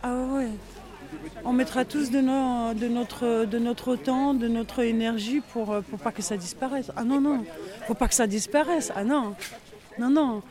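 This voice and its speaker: A man's voice: very high-pitched, fairly even intonation, unhurried, crowd noise. A man interviewed about village life, talking casually and hesitating with many fillers. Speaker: villager interviewed on local radio. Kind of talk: street interview, casual talk.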